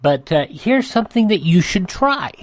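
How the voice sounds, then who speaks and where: A man's voice: sits high, sing-song, normal speed, no echo. An older man hosting a radio show, announcing and reading ads in a folksy, rambling, conversational way.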